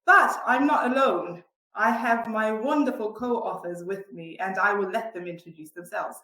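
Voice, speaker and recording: A woman's voice: medium pitch, normal speed, bright, forceful tone, roomy sound, rough recording. A woman from Botswana hosting a live online event and welcoming viewers in an upbeat way. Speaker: host, head of communications.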